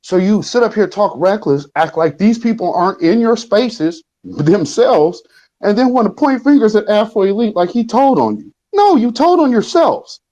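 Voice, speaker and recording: A man's voice: sits high, lively intonation, normal speed, a little mellow. A man ranting heatedly at listeners in an online audio room, scolding and confrontational. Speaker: caller in an audio chat room. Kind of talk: heated rant. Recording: muffled lo-fi audio.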